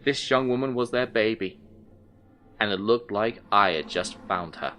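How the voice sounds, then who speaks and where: An actor playing an intent, unsettled male detective, recounting his case in brooding, deliberate first-person audio drama narration. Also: fairly low pitch, pushed and bright. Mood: tense, cautious.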